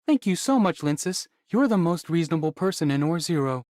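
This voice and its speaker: A woman voicing a literal-minded, dutiful worker in an anime game, with slow, deliberate, polite speech. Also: lowish voice, animated, darkish tone, no echo, clean studio sound, close to an everyday voice. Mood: earnest, grateful. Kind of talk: anime video game voice acting.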